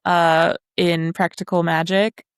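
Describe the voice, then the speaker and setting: A woman's voice: lowish voice, flat delivery, takes it slowly, clean tone, no echo. Female podcast co-host, wry and casual, leisurely delivery, close dry sound. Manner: wry, sardonic, casually sweary. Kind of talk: casual book discussion chat.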